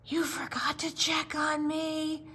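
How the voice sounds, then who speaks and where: A woman voicing a small, eager young bunny in a storybook, with a light, gentle, childlike voice. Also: high voice, little pitch movement, slow pace, slight background noise, voice pushed up, exaggerated voice. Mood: earnest. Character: small earnest young bunny looking after sleeping Dad.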